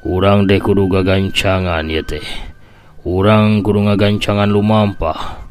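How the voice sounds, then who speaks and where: A man with a Sundanese accent playing a decisive elder-brother warrior leader in a folk tale, speaking in a commanding, deliberate way. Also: fairly low pitch, flat delivery, natural voice. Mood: urging. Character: male warrior, eldest brother, decisive leader seeking vengeance.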